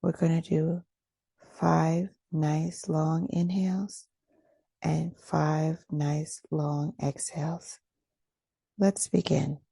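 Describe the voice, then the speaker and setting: A woman leading a guided meditation in a soft, breathy voice, soothing and unhurried, pausing between short phrases. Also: deep voice, flat delivery, no echo. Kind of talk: guided meditation, gentle scripted instruction.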